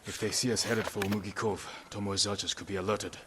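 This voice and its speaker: An actor playing a resolute samurai lord in a video game, speaking in a measured, firm and serious way. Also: male voice, lowish voice, little pitch movement, noisy background, lightly acted. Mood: commanding. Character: resolute young samurai lord, calm and commanding. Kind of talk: dramatic video game acting. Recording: outdoor game ambience behind the voice.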